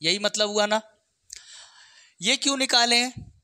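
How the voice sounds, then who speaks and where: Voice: mid-range voice, measured pace, bright, forceful tone, clean tone, no room sound. A maths teacher explains exam problems step by step, often checking in with short questions.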